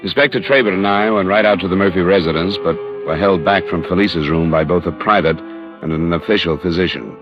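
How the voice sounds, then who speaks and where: A man's voice: low voice, brisk, over music, lightly acted. A man playing a cool, direct insurance investigator in a vintage radio crime drama, clipped and matter-of-fact. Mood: dry narration. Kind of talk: 1940s-50s radio crime drama, narration and dialogue. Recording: dull vintage radio recording.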